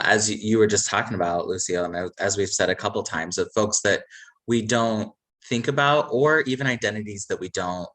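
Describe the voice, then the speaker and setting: A man from a charity speaking earnestly on a livestream, measured and pausing often. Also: lowish voice, fairly bright tone, low-quality recording. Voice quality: earnest, measured, many "uh" pauses. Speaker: charity representative. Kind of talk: casual livestream chat.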